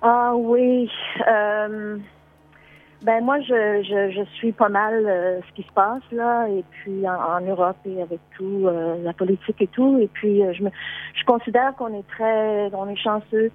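A woman's voice: mid-range voice, fairly even intonation, unhurried, no room sound. A woman from Quebec speaks over a tinny phone line. She chats casually as a caller. She hesitates and restarts her sentences often. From Quebec, Canada. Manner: hesitant, many fillers and restarts.

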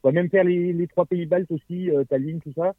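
A man's voice: high voice, fairly even intonation, fairly quick, no echo. A man calling into a show, chatting casually and rambling, with many fillers. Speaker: caller. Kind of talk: casual phone chat. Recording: dry, tinny phone line.

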